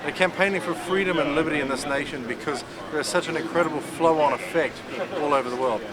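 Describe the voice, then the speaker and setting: A man's voice: on the high side, fast talker, rough recording. A man with a New Zealand accent giving an earnest, explanatory campaign speech outdoors, handling props as he talks. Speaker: political campaigner speaking to camera. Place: outdoors at a busy event. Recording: loud crowd noise behind.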